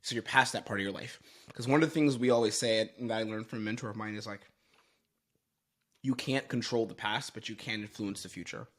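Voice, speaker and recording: A man's voice: fairly low pitch, brisk. A man giving explanatory commentary as a sales coach, stressing his points emphatically and repeating phrases.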